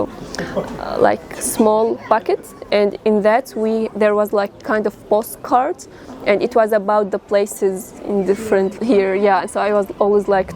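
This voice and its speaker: A young woman from Syria, telling her family's story in an interview, halting and full of fillers. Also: mid-range voice, a little mellow. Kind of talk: personal storytelling.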